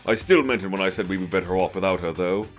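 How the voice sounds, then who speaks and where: A man's voice: deep voice, fast talker, faint music behind, lightly acted. A man playing a cold, haughty, impatient young male student in an anime game fan dub, with a condescending, commanding manner. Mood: cold, dismissive.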